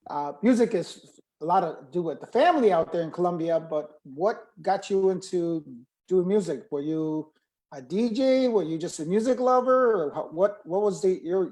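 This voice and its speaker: Mellow-voiced male interview host. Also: high voice, lively intonation, normal speed, low-quality recording. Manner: rambling, rephrases questions several times. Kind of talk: casual informal interview.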